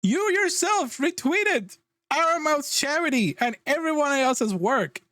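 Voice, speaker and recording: A man's voice: very high-pitched, lively intonation, slow, pushed and bright, no room sound, clean studio sound. A man livestreaming a casual, exasperated reaction rant, emphatic and freely swearing. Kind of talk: casual ranting reaction commentary.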